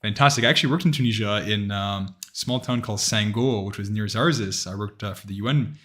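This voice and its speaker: Lower-voiced man answering live questions. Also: a little fast, a bit bright. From Canada. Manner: conversational, explains step by step, frequent restarts. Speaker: immigration lawyer.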